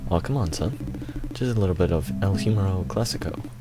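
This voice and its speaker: A man's voice: low voice, brisk, over loud music, natural voice, low-quality recording. A man voicing a jovial, teasing father bear who dotes on his son, reading from a visual novel. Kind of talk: visual novel read aloud.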